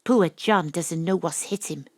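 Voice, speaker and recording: A woman playing a cool, wry private detective in an audio drama, narrating and talking in a measured, theatrical way. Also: on the low side, no echo, clean studio sound, close to an everyday voice. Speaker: lead actor and narrator.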